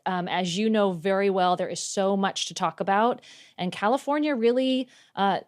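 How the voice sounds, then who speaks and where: A woman hosting a political interview show, reading an upbeat intro and asking her guest questions with friendly praise. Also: mid-range voice. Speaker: podcast host.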